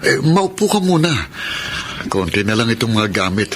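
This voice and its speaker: A man's voice: mid-range voice, very animated, moderate pace, noisy background, lightly acted. A man acting a caring, eager young husband in a Filipino radio drama.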